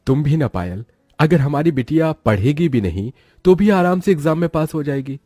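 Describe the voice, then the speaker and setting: A man acts out a smug, indulgent rich father from a cartoon. His voice is lifted above its natural register, breezy and dismissive, with a slightly rough edge and a dry recording. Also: on the high side, lively intonation, normal speed, mellow, no room sound, pitched above the performer's own voice. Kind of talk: Hindi cartoon moral story.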